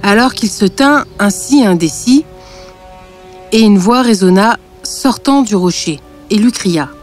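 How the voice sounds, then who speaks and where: Female storybook narrator, deadpan touch, mid-pitched voice, music bed underneath. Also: unhurried. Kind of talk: scripted fairy tale narration.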